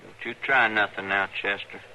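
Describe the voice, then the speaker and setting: Sharp-voiced, callous frontier farm boy. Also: on the low side, steady tone, moderate pace. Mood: dutiful. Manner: folksy, matter-of-fact, surly. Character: callous, obedient frontier son of a preacher. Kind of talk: old-time radio western acting. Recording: noisy old radio recording.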